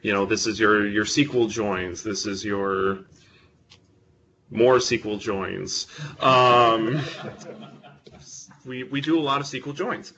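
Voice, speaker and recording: Bright-voiced man giving a technical talk. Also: lowish voice, slow, long pauses, highs cut off, slight background noise. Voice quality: big voice. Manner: conversational, self-deprecating humor. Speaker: presenter at a user group meeting. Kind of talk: technical talk to a live audience. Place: meeting room with an audience. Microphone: close microphone.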